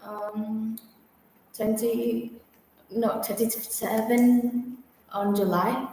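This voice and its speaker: A woman answers test questions slowly, pausing often and filling gaps with hesitant sounds. Her pitch is mid-range for a woman. She is in a very echoey room with long reverb and faint noise behind her. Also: flat delivery, a little mellow. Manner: hesitant, halting answers with many fillers. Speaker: test candidate. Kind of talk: practice speaking-test answers.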